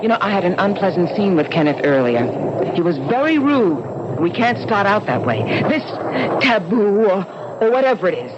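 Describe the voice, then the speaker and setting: An actress playing an expressive, insistent, sensible wife in an old-time radio drama. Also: female voice, mid-range voice, normal speed, natural voice. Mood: firm, indignant. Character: strong-willed, sensible wife, scornful of superstition. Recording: noisy, dull old radio recording.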